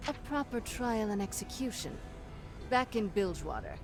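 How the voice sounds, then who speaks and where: A woman plays a hard-edged, determined pirate captain from a video game. Her medium-pitched voice is wry and vengeful, with music behind it. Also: very animated, normal speed, natural voice. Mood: vengeful resolve. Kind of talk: video game character acting.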